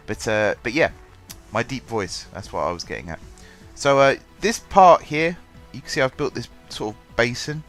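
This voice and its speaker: A man's voice: medium pitch, lively intonation, moderate pace, few pauses, fairly bright tone. A man with a cold and a stuffy, bunged-up voice, rambling through casual commentary on his game with lots of ums and restarts. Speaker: gaming channel host. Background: faint background music.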